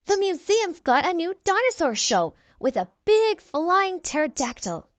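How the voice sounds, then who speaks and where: An eager, excitable young boy hero from a storybook, acted by a woman reader. The high voice bounces up and down with exclamations, close and dry. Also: a little slow, no echo, exaggerated voice. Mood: excited.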